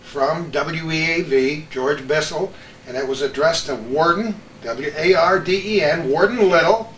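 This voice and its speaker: Higher-voiced man hosting in a roomy space. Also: measured pace, a bit bright. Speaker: host. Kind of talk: casual reminiscing interview. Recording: roomy, distant mic, faint noise.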